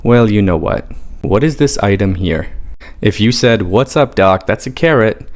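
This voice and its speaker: A man's voice: lowish voice, animated, normal speed. Man presenting an upbeat medical clip. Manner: upbeat quiz-style delivery. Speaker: emergency doctor in a social media clip. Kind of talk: scripted short-video presentation.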